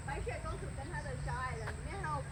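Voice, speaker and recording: A woman's voice: sits high, expressive, racing. A woman with a Taiwanese Mandarin accent gives a casual on-location tour to camera as a vlog host. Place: outdoors in a leisure farm park. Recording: outdoor recording with clear ambient noise.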